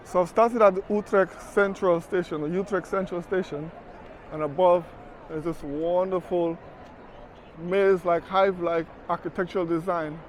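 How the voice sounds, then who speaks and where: A man's voice: high voice, fairly even intonation, normal speed, a little hiss or hum. Chatty man vlogging on city streets. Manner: chatty, conversational, fond of "you know". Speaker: travel vlogger. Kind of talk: casual walking travel vlog. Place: outdoors on city streets and at a market.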